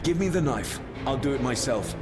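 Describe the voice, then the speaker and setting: An actor playing a defiant, earnest heir in a dramatic video game, speaking in a grave, resolute manner. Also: male voice, medium pitch, animated, moderate pace, loud music behind the voice, lightly acted. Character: defiant, earnest young heir facing his villainous cousin.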